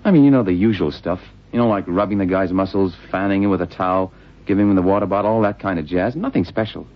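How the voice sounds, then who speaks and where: An actor playing an earnest, plain-spoken detective's sidekick in an old radio crime drama, slangy and matter-of-fact. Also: male voice, low voice, fast, natural voice. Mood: puzzled, insistent. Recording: dull vintage radio drama recording.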